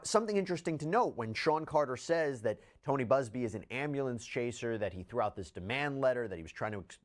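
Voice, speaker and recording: A man delivering emphatic, explanatory legal news analysis, like a commentator. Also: a little high.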